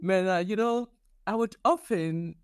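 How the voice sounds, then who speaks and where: A woman's voice: medium pitch, measured pace, smooth, no echo, studio quality. A woman in her sixties from Ghana, a guest author talking earnestly in a podcast interview and often restarting her words. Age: 60s.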